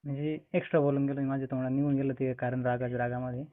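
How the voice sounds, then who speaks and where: A man's voice: medium pitch, little pitch movement, very fast. A man with a Marathi accent speaking casually on a tinny phone call, hesitant and downbeat as he confides. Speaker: caller confiding in a friend. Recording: narrow tinny phone line.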